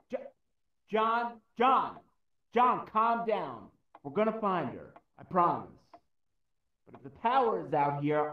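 Game role: pleading, rambling everyman, very high and animated, heard muffled from far off. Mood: hesitant, hopeful. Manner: rambling, hesitant, earnest. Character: anxious, pleading, well-meaning everyman on a mission. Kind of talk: VR game radio-drama acting. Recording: echoey, distant, muffled.

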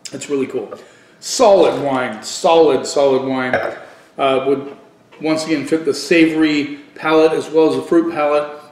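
A middle-aged man giving a casual wine review on video, thinking aloud haltingly and repeating words for emphasis. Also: a little high, fairly even intonation, darkish tone. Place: living room at home, indoors. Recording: echoey room.

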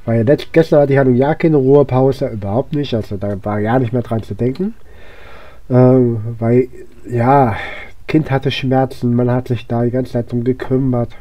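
A hoarse man with a cold giving casual, rambling gameplay commentary, with lots of fillers and restarts. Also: medium pitch, steady tone, moderate pace, dark tone, no room sound, highs cut off. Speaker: let's play gamer.

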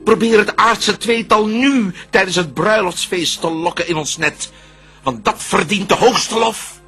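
An actor playing a proud, scheming, vengeful devil in a radio drama, declaiming verse in a theatrical manner. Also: male voice, high voice, a little slow, gravelly, bright, forceful tone, husky, soft background music, exaggerated voice. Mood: urgent, defiant.

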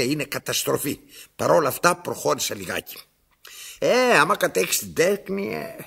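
A gravelly, imperious old storyteller. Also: male voice, medium pitch, a bit bright, full character voice. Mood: self-satisfied, theatrical. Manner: theatrical, repeats himself, demanding. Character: imperious old blind man, theatrical, querulous storyteller. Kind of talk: radio theatre acting.

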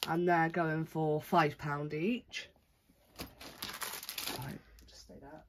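A woman's voice: low-pitched, slight background noise. A woman with a stuffy, bunged-up voice from a cold, chattily showing off her handmade crafts and listing the price of each. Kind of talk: casual show-and-tell vlog. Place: indoors at a craft table.

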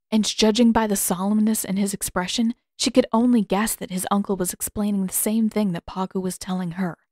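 A woman's voice: medium pitch, darkish tone, dry recording. Dry-sounding woman narrating fiction. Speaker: audiobook narrator and author.

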